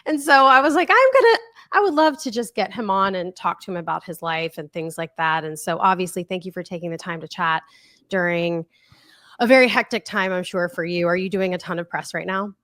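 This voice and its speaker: A woman's voice: fairly low pitch, animated, brisk, fairly bright tone, no room sound. A woman in her thirties hosting her own podcast over a webcam, chatting casually and laughing often. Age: 30s. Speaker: podcast host. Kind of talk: casual interview chat. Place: home in Nashville.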